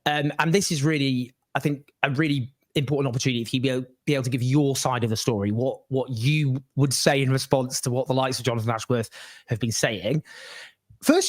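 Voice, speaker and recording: A man hosting a political interview, setting up his guest with emphatic lists of figures and frequent restarts. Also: medium pitch. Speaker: interview host.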